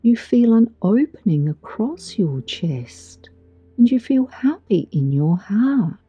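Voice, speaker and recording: A woman guiding a meditation in a soothing, gentle, deliberate way with long pauses, recorded with a muffled sound. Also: medium pitch, sing-song, breathy edge, no echo. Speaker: meditation guide. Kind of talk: guided meditation narration. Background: background music.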